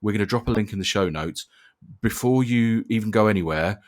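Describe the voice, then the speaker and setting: A man's voice: fairly low pitch, a little fast, darkish tone. A man hosting a casual podcast interview with dry, jokey, self-deprecating banter. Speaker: podcast host.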